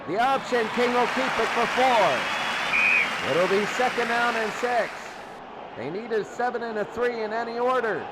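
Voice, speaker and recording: Guy doing football play-by-play, high voice, clipped phrases repeated, super loud crowd and noise behind him. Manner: short clipped phrases, repeating key calls. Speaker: play-by-play sports announcer. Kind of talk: excited live American football radio commentary.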